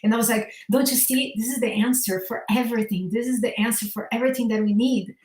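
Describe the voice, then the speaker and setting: A woman's voice: on the high side, fast, reverberant, noisy background. A woman from Brazil with a Brazilian Portuguese accent, a guest sharing her faith story earnestly, sometimes restarting her sentences. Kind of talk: personal testimony in conversation.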